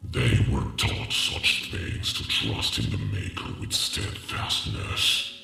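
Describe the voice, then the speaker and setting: A man voicing an ancient, proud and brooding male dragon in a fantasy audio roleplay, rumbling in solemn, archaic, grandiose speech. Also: wildly swooping pitch, slow, sharp tone, exaggerated voice. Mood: solemn, weary. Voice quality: gravelly, rumbling. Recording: distant, cavernous echo.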